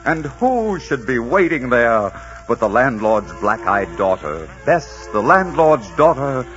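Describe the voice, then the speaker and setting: A man's voice: medium pitch, sing-song, slow, a bit bright. A man announcing in a theatrical, polished, scripted 1940s radio style. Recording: dull 1940s radio recording, music.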